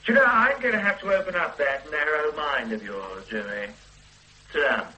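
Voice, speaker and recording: An actor playing a brisk, authoritative police superintendent in a radio drama. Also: male voice, a little high, lively intonation, pushed and bright, poor audio. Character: brisk modern-minded male police superintendent. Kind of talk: 1970s radio drama acting.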